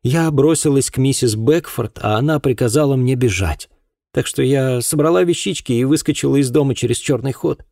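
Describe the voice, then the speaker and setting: A man voicing a distressed young housemaid in an audiobook, speaking in a halting, plaintive, anxious way. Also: medium pitch, gravelly, dark tone, no room sound, studio quality, pitched above the performer's own voice. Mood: agitated, aggrieved. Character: distressed young housemaid woman recounting an assault. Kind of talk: acted audiobook dialogue.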